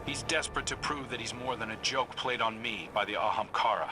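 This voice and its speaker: A man's voice: on the low side, fairly quick, natural voice. A man playing a world-weary, concerned ally in a video game, giving mission advice in a plain conversational way. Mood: concerned, regretful. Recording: loud game music behind.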